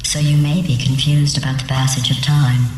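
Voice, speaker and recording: Low, synthetic, passive-aggressive female AI. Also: normal speed, reverberant, slight background noise, low-quality recording. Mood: deadpan mockery. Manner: deadpan, sarcastic, falsely sweet. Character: female artificial intelligence running tests, passive-aggressive, coldly cruel. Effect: synthetic robotic processing. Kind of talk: video game character dialogue.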